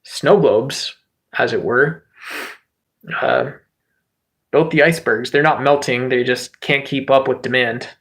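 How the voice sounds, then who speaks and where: A man hosting a livestream, chatting with viewers in a rambling, joking way and swearing freely; he says his voice can turn high and squeaky. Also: a little slow, long pauses, fairly bright tone. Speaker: livestream host. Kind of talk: casual livestream chat with viewers.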